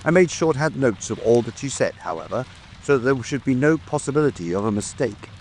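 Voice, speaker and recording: Casting: man to play self-satisfied formal policeman, audiobook drama, middle register over soft rainfall. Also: medium pitch, expressive, brisk, a little hiss or hum, natural voice. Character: self-satisfied, officious male police detective. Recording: faint rain ambience behind.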